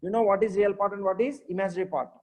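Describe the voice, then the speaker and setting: A man's voice: high-pitched, fairly even intonation, very fast. A man, teaching maths in a live online class. He repeats himself, checks on his students often and praises their answers.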